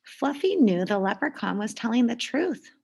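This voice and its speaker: A woman reading aloud to children in an expressive storytelling style, with deliberate pauses and emphasis. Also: on the high side, creaky, husky.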